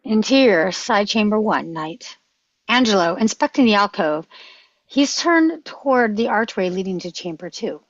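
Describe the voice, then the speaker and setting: A woman reads stage directions in short scripted phrases at a medium pitch. The sound is very dry and a little lo-fi. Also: expressive, moderate pace, dry recording. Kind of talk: scripted narration at a table read. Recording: slightly dull, lo-fi microphone.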